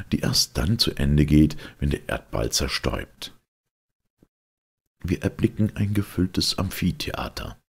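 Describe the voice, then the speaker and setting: A man reading a classic literary tale as an audiobook narrator, in a solemn, measured storytelling manner. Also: very deep voice, creaky, husky.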